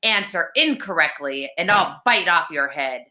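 A woman voicing a short, wide, menacing male bridge troll in a children's storybook, with drawn-out, demanding threats. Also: low voice, animated, bright, forceful tone, heavily acted.

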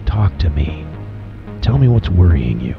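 An actor plays an earnest, seasoned local man in an audio drama. He speaks with lively swoops in pitch and a sympathetic manner. The sound is dull, with music behind. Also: a little slow, creaky, full character voice. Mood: earnest, inviting. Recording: muffled recording under loud music.

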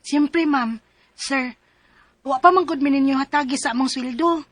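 A woman's voice: sits high, normal speed, no room sound, natural voice. An actress with a Cebuano accent playing a young female helper pleading with her boss in a radio drama. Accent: Cebuano Filipino.

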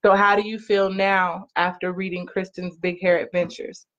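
A woman in her thirties, a mother reading and chatting for a children's story time in an animated, encouraging way, recorded on a webcam in a living room. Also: medium pitch. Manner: animated, encouraging call-and-repeat delivery with drawn-out phrases. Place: small living room, on a couch. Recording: slightly dull home webcam audio.

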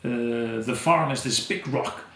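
A man in his thirties from Iceland, a native Icelandic speaker, telling stories as an interview guest with many pauses and fillers. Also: medium pitch, fairly bright tone, roomy sound. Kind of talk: conversational storytelling. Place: dim indoor room, seated in armchair.

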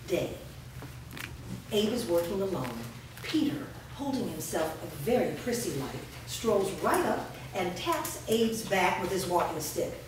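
Animated woman narrator in an echoey hall. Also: mid-range voice. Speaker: stage directions reader. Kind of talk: scripted narration at a staged reading. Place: indoor performance room before a small audience. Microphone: far camera. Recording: echoey hall, distant mic, noisy.

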